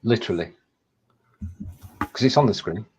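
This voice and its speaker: A man's voice: lowish voice, lively intonation, moderate pace, darkish tone, slightly rough. A man with a Lancashire accent co-hosting a casual live-stream chat, playful and jokey, stumbling over his restarts. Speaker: co-host.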